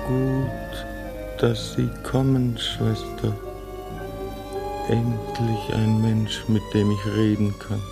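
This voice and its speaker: A man's voice: lowish voice, little pitch movement, drawn-out words, gravelly, heavily acted. An actor in his thirties playing a frightened man who pleads urgently to be heard, with music behind. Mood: desperate. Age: 30s. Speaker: radio play lead.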